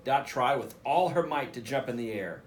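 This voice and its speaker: A man, a school principal, reading a picture book aloud for children in an expressive way, with casual asides. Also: mid-range voice, normal speed. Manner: expressive, addresses children directly.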